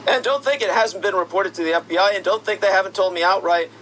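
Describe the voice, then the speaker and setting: High-voiced man ranting indignantly. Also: fast, a little hiss or hum, low-quality recording. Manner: indignant, accusatory, rising to shouty outbursts. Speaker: commentator. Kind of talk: monologue rant.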